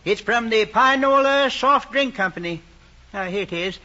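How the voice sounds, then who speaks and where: Nosy southern mail carrier, old movie, really high voice, folksy, dull noisy audio. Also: male voice, lively intonation, moderate pace, bright, forceful tone, exaggerated voice. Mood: friendly, curious. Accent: rural Southern American. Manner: chatty, folksy. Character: friendly, chatty, nosy rural mailman. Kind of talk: vintage rural screen drama acting. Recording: muffled old film soundtrack, clear noise.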